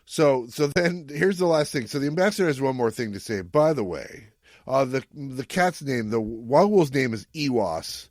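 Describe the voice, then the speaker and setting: Man, podcast host, medium pitch, informal and playful, chatty reviewing, very little room sound. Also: expressive, normal speed, darkish tone, no echo, studio quality. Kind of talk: casual chat recapping and reviewing an audio story.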